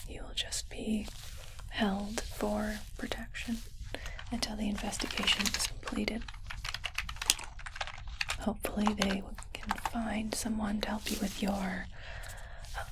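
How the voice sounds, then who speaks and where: A woman playing a calm, clinical but kindly alien medic in a whispered ASMR roleplay, hesitant and softly reassuring. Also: medium pitch, heavy background noise, close to an everyday voice. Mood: calm, official. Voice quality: breathy unvoiced whisper.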